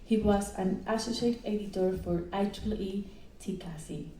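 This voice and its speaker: A woman hosting a session reads out a speaker's introduction in a measured, formal way. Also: medium pitch, fairly even intonation, dark tone, a little hiss or hum. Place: conference hall. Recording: echoey hall, heard from a distance.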